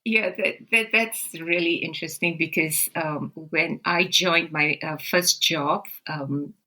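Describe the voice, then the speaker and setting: A woman from Singapore, first language Malayalam, with a blended Singaporean, Malay, Malayalam and Tamil accent, telling her story slowly in an interview with many pauses and fillers. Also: sits low, steady tone, sharp tone, low-quality recording. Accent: mix of Singaporean English, Malay, Malayalam and Tamil. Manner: slow, thoughtful, with many fillers. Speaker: guest.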